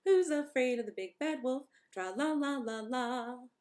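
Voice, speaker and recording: A woman's voice: high-pitched, expressive, measured pace, a little mellow, pitched above the performer's own voice. A woman voicing silly, cocky little male pigs from a storybook in a sing-song, squealing manner. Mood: merry singing.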